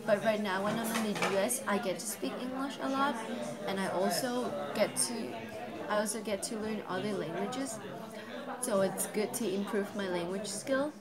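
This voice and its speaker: A teenage girl from Vietnam with a Vietnamese accent, a high school student talking earnestly in an interview, with simple phrasing and fillers. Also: normal speed, a loud crowd. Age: teens. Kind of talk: interview testimonial. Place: school library, indoors. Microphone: camera on table, seated medium shot.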